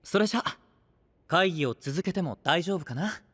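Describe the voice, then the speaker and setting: An actor playing a gentle, earnest young knight who leads a council in a polite way, in an anime-style voice drama. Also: male voice, on the high side, animated, moderate pace. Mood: earnest, composed.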